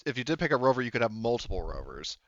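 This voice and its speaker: A man's voice: mid-range voice, very animated, very fast, no echo, highs cut off. A man acting as game master, casually explaining tabletop game rules and often stopping himself to double-check. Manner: hesitant, self-interrupting, often double-checking.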